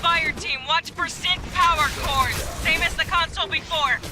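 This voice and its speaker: A high-pitched, commanding marine sergeant. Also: male voice, moderate pace, heavy background noise. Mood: urgent. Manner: commanding, blunt. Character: tough marine sergeant commanding a fire team. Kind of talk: scripted video game acting.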